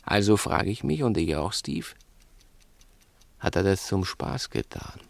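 Scheming gangster boss in an old 60s radio play, deep creaky voice, bossy and menacing, patronising. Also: male voice, big pitch swings, unhurried, no room sound, heavily acted. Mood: insistent, probing. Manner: insistent, theatrical, probing with rhetorical questions. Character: cunning, menacing, domineering crook, patronising to his accomplice. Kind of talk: 1960s German radio play acting.

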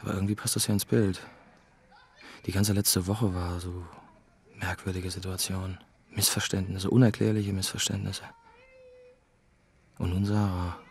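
A man's voice: low-pitched, vocal fry, exaggerated voice. An actor playing a troubled, self-mocking man recounting his story in a German radio drama. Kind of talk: German radio drama acting, inner monologue.

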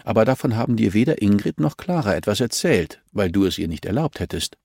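Man reading as a cool policewoman grilling a suspect, crime audiobook, calm but pushy, slight creak. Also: on the low side, a little fast, no echo, full character voice. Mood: calm pressure. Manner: calm, insistent, accusatory.

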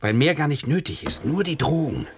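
An actor playing a defiant political dissident and protective husband in a German radio play, earnest and intense. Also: male voice, fairly high pitch, sing-song, fairly quick, breathy edge, full character voice. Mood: urgent, defiant.

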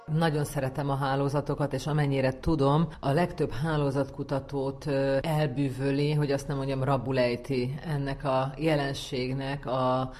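A woman's voice: rumbling low, little pitch movement, hardly any pauses, a little mellow. A woman speaking as a social researcher, explaining her field in an interview.

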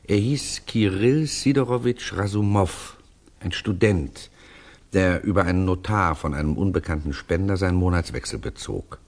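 An actor playing a grave storyteller in a 1960s German radio drama, recounting and reading in a measured, literary manner. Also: male voice, sits low. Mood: reflective.

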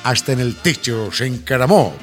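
A man narrating a scripted radio drama as an animated storyteller. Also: medium pitch, moderate pace. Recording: salsa music behind.

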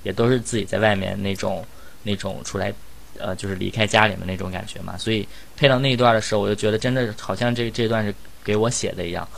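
A man's voice: lowish voice, steady tone, quick pace, gravelly. A man co-hosting a casual panel chat as a voice actor. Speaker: host and voice actor in an audio drama cast discussion.

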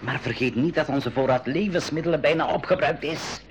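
A man playing a cautious, kindly inventor uncle in a 1980s educational radio play, with expressive delivery. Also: fairly high pitch, a little fast, lightly acted. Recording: faintly noisy 1980s radio recording.

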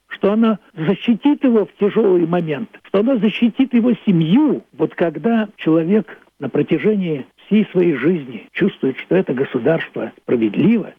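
An elderly man from Russia speaking reflectively and deliberately, in emphatic phrases with repetitions, like a philosophical monologue. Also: high voice, slightly rough. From Russia, Leningrad. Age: older, 80s. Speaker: playwright. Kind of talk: reflective radio monologue about own play and history. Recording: thin phone-like band-limited sound.

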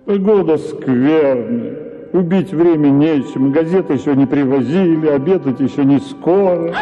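An actor reading a story for radio as a husband, lecturing in an exasperated tone. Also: male voice, on the high side, unhurried, mellow, soft background music. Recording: tinny radio broadcast with hall reverb.

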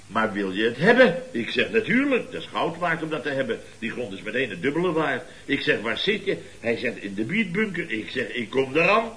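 An actor playing a blustering, indignant middle-aged boss of a building firm in a radio comedy, exclaiming and repeating himself. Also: male voice, medium pitch, big pitch swings, a little fast, hardly any pauses, a bit bright, a little hiss or hum. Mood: agitated storytelling.

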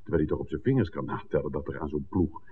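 An actor playing a shrewd, excitable filmmaker who reasons aloud emphatically in a 1960s Dutch radio drama. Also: male voice, rumbling low, fast talker, husky. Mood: agitated, reasoning. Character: John, shrewd excitable filmmaker piecing together a smuggling plot. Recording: roomy reverb, phone-like old radio recording.

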